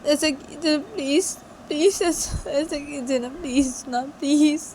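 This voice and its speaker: A woman's voice: high voice, measured pace, darkish tone, a little hiss or hum. A woman voicing a young female employee who begs earnestly and tearfully, repeating please. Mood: pleading.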